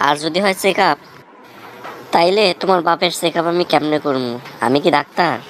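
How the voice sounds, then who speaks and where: A man's voice: on the high side, fairly quick, lightly acted. A man playing a cocky, theatrical young jilted village lover in a comic cartoon, with a Bangladeshi accent. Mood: indignant. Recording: noticeable background noise.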